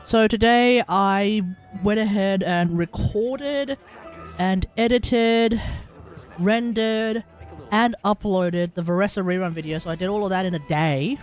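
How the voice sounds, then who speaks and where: A man's voice: high voice, measured pace, faint music behind. A man hosting a casual gaming livestream, rambling and thinking aloud. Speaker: livestream host.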